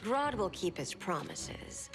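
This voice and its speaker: A woman's voice: mid-range voice, very animated, slow pace, over music. A woman playing a cool, ruthless, seductive villainess in a video game, languid and cold in delivery. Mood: cold, dismissive. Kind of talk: video game cutscene voice acting.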